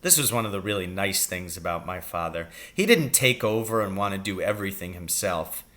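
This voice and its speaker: A man reading a children's novel aloud as an expressive storybook narrator. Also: deep voice, brisk.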